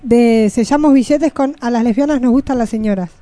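High-voiced Argentine woman hosting radio. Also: a little fast, warm tone, dry recording. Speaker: radio host. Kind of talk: casual radio interview.

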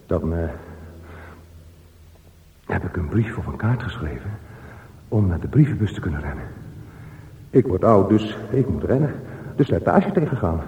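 An actor playing a solitary, obsessive aging man in a radio drama, talking to himself in halting, repetitive phrases. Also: sits low, big pitch swings, warm tone. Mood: brooding. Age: older. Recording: roomy echo with faint noise.

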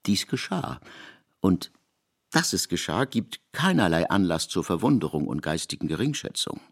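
A man reading literary narration for radio in a measured, articulate way. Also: low voice, very animated, slightly rough.

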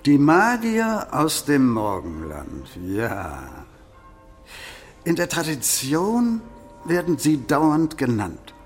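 A slow-speaking, pedantic medieval scholar. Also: male voice, a little high, huge pitch swings, soft background music, full character voice. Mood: pedantic, pondering. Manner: pedantic, deliberate, lecturing. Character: learned medieval canon, pedantic religious scholar. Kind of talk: German radio play acting.

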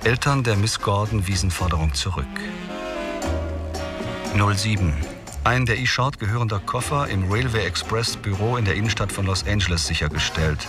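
An actor voicing a clipped official report, spoken in a low voice from a step back from the mic. music plays clearly underneath. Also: male voice, moderate pace, distant mic, natural voice. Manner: clipped, reading in note form. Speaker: reader of a police report. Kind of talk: radio drama reading.

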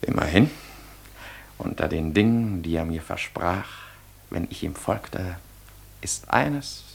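An actor playing a fervent, proud young romantic dreamer in a German radio play, declamatory and lyrical. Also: male voice, sits low, animated, slow pace, gravelly, husky, full character voice. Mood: pleading, ardent.

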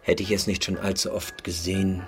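An actor playing a sly, philosophising hotel floor waiter in a radio drama, insinuating and theatrical, with whispery asides. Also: male voice, deep voice, flat delivery, fairly quick, soft background music. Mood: grandiose, sly.

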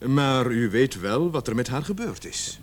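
An actor playing a measured, insinuating police sergeant in a radio drama, formal and deliberate as he questions a suspect. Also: medium pitch, big pitch swings, slight background noise, natural voice. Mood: probing, insinuating. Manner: formal, deliberate, falsely soothing. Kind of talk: Dutch-language radio drama acting.

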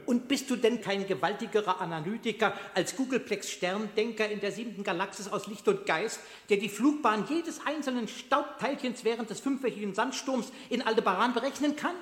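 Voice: medium pitch, brisk, hardly any pauses, close to an everyday voice. A performer playing a challenging alien scientist in a radio play, insistent and declamatory. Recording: echoey reverberant hall.

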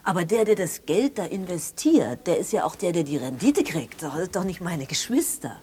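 A woman's voice: on the low side, sing-song, moderate pace, warm tone, slight background noise. An actress playing a matter-of-fact woman defending her siblings in colloquial, improvised-sounding radio drama dialogue. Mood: defensive.

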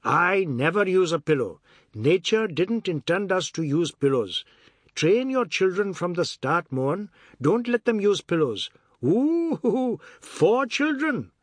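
A man's voice: sits high, sing-song, measured pace, no echo, pitched above the performer's own voice, full character voice. A man voicing a boastful, mischievous older male relative in an audiobook, needling and lecturing emphatically. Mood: boastful, emphatic.

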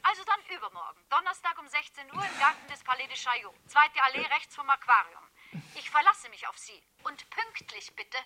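Piercingly bright, needling female blackmailer. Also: high-pitched, sing-song, fairly quick, heavily acted. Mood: coolly insistent. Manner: falsely apologetic, polite, needling. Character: mock-deferential female blackmailer, coolly insistent. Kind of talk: 1970s radio play acting.

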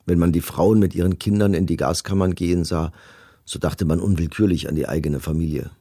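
A middle-aged commandant gives testimony in a radio play. His voice is low and dark, with a gravelly rasp. He speaks in a measured, bureaucratic way, detached and matter-of-fact. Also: fairly even intonation, dry recording, clean studio sound. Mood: sombre, confessional. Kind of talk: documentary radio play, reading written testimony.

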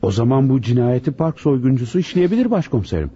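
A muffled old radio-play recording carries a man acting an earnest deputy detective. He has a middling male pitch and speaks dutifully, like a subordinate giving a report. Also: very animated, quick pace, dark tone, close to an everyday voice. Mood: speculating. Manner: deferential, crisply reporting.

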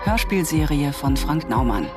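Low, measured female announcer over music. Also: very animated. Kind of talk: scripted credits announcement.

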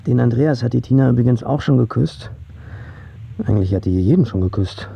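An actor playing a blunt, boozy drinking buddy with dry wit in a radio drama. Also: male voice, mid-range voice, expressive, fairly quick, gravelly, breathy edge, no echo. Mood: dry, matter-of-fact. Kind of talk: German radio drama acting. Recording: slightly dull audio.

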